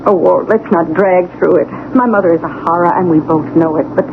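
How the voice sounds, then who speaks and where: A woman's voice: on the low side, brisk, close to an everyday voice. A woman playing a frazzled, overwrought middle-aged wife in a 1970s radio drama, with a nervy, weary way of talking. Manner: weary, nervy, sighing complaints. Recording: noisy, tinny old radio broadcast.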